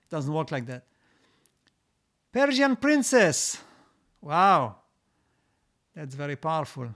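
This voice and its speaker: Higher-voiced man, halting and repetitive. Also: big pitch swings, a bit bright. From Israel. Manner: halting, frequent restarts and repeated words; reads out comments, then answers. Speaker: host answering viewer comments. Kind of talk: live-streamed casual Q&A.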